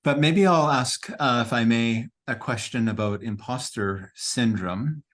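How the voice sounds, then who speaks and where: A man moderating an online Q&A over a video call, speaking slowly and haltingly with many pauses. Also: mid-range voice, fairly bright tone. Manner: hesitant, many ums and pauses, slow. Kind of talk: hosting a Q&A.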